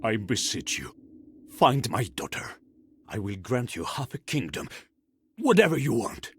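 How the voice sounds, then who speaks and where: A man's voice: mid-range voice, big pitch swings, unhurried, husky, exaggerated voice. A man voicing a grand, earnest king and father in a fairy-tale game, stately and dramatic. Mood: pleading. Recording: loud background noise.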